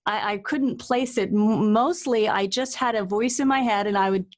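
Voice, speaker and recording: A woman's voice: medium pitch, normal speed. Chatty woman hosting a casual interview. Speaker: interview host and author.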